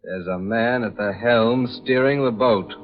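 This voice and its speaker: Actor as an earnest young deckhand, muffled old radio. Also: male voice, medium pitch, unhurried, natural voice. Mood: shaken. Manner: respectful, grave reporting. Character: earnest, deferential young deckhand, spooked but steady. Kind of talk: 1940s radio acting.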